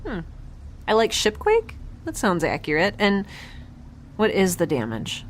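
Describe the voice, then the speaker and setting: Bubbly, animated, rambling young spacefarer played by a woman. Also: mid-range voice, unhurried, slight background noise. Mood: upbeat, casual. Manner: rambling, bubbly, awkward, informal. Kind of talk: science fiction audio drama.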